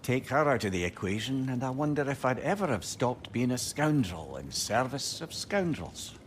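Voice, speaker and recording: An actor with a Scottish accent playing an earnest, eloquent, lovelorn sage in a video game. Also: male voice, mid-range voice, very animated, moderate pace, a little hiss or hum, natural voice. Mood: earnest, wistful. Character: eloquent, tender, lovelorn male sage, former scoundrel. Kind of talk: dramatic video game dialogue. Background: music.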